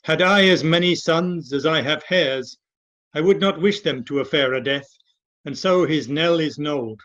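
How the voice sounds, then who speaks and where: A man's voice: fairly high pitch, moderate pace, a bit bright, lightly acted. An amateur actor playing a stoic older warrior lord and bereaved father in a Shakespeare radio play, restrained in delivery. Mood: stoic grief. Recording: dull, lo-fi video call.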